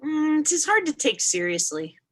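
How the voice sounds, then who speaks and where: A high voice that swings widely in pitch. A woman plays a fretful little child, insistent and childlike, speaking archaic stage lines in a mock-Shakespearean horror reading. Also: big pitch swings, unhurried. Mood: curious. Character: small, fretful, insistent child afraid of the boogeyman.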